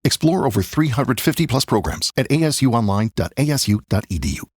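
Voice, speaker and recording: Husky, brisk male ad announcer. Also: mid-range voice, very animated, no room sound, clean studio sound. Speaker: commercial announcer. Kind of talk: scripted advertising voice-over.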